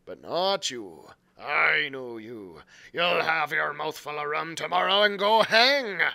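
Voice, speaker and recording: Bold, rolling old sea rover, as a man puts him on: cunning and menacing, with a bright, ringing tone. His pirate drawl swings wide in pitch, playing big for a storybook reading. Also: expressive, slow, creaky, full character voice. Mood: scornful. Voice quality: loud, rolling pirate speech. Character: cunning old male pirate quartermaster, smooth-talking and menacing.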